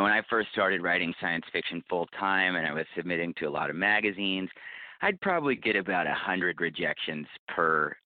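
A man speaking as an interview guest, giving casual advice in an earnest, reflective, explanatory way. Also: sits low. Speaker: interview guest, writer and podcaster. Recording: roomy, phone-like narrow band.

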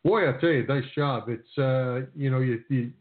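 A man's voice: mid-range voice, normal speed. A man co-hosting a sports radio show in a casual, conversational style. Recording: narrow phone-like radio sound.

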